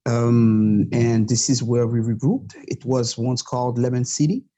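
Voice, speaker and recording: A man from Montreal whose first language is French, a podcast guest talking in a halting, passionate way with many fillers. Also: medium pitch, dark tone. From Montreal, Canada. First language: Quebec French. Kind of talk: casual interview talk.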